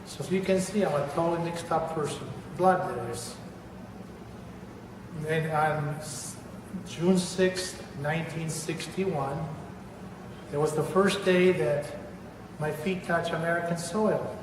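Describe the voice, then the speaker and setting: An older man with an Argentine accent gives his testimony, earnest and deliberate, leaning on repeated words. His voice sits a little high for a man and sounds distant from the mic. It rings through a long, echoey hall over steady background noise. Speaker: church member giving testimony. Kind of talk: personal religious testimony. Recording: echoey hall, voice from far off, noisy.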